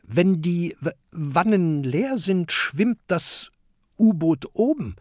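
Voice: medium pitch, animated, slow, darkish tone, dry recording, studio quality, voice pushed up. A narrator doing a stuttering submarine captain. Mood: proud. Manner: stutters on first sounds. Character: stuttering proud but timid male submarine captain. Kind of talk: storybook character voice.

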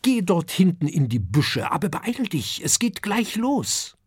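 A man voicing a practical, patient dragon mother who speaks briskly in a raised voice. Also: big pitch swings, slightly rough, no echo, studio quality, exaggerated voice. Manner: brisk, sighing, higher voice.

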